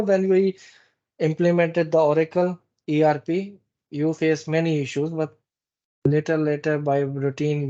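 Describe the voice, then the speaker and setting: A man giving a live software training walkthrough in a halting, instructive way, often repeating phrases. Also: fairly high pitch. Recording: dull, muffled lo-fi meeting recording.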